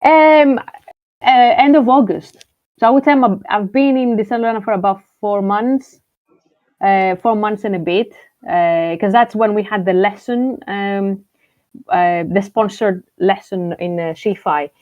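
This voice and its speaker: A woman from Greece, talking loosely and warmly as a guest, filling her pauses with "uh" and "um" and restarting her sentences. Her voice sits in a middle pitch. The audio is dry and muffled, like an online voice chat. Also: no echo. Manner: chatty, frequent fillers and restarts. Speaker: guest, journalist and content creator. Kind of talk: casual interview chat.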